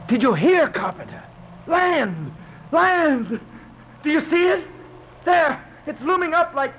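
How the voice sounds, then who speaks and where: A man's voice: extremely high pitch, sing-song, slow pace, a bit bright, full character voice. An actor playing a haunted, guilt-ridden sailor telling of his doomed voyage in fervent, theatrical 1940s radio drama style. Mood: desperate joy. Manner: theatrical, fervent, anguished declamation. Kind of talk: 1940s radio drama acting, verse-like narration. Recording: noisy old 1940s radio recording.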